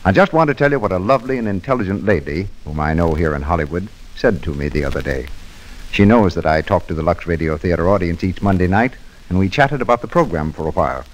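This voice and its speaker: A man hosting an old radio show as sponsor's spokesman, reading a scripted announcement in a measured, formal way. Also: deep voice, rough recording.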